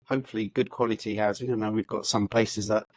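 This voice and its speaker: A man's voice: on the low side, flat delivery, quick pace, no room sound. A man in his fifties, raised in the UK, talking as a podcast guest in an upbeat, reflective way, with many hesitations and restarts. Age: 50s. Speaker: guest, housing organisation chief executive. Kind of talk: conversational podcast interview.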